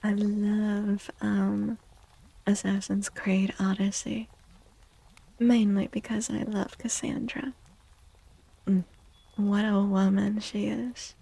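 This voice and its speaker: Soft, breathy, half-whispering woman, close-up. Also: mid-range voice, little pitch movement, no echo, poor audio. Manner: gentle, unhurried, greeting chatters. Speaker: livestream host, VTuber. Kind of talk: soft-spoken ASMR livestream chat. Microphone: close microphone, dry.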